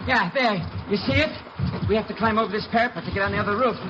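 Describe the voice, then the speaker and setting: An actor playing a nervous, evasive husband under suspicion in a 1950s radio drama, stammering and repeating himself. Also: male voice, high voice, quick pace, lightly acted. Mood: agitated, insistent. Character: nervous husband, murder suspect, evasive and increasingly frantic. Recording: dull noisy vintage radio recording.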